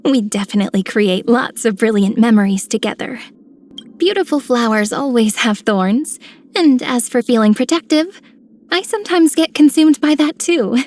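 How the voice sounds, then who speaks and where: A woman voicing a sweet, affectionate young heroine with a gentle, playful manner in a video game. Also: a little high, close to an everyday voice.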